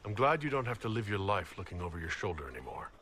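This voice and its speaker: An actor playing a steady, gallant leader in a video game, speaking in a courteous, direct and diplomatic manner. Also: male voice, lowish voice, fast talker, slight background noise. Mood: reassuring. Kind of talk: video game cutscene acting.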